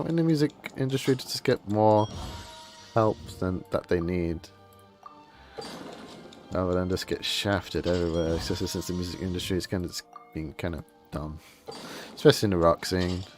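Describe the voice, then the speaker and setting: Man, low-pitched voice, casual game streamer, opinionated, informal grumbling chat, background music. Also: darkish tone.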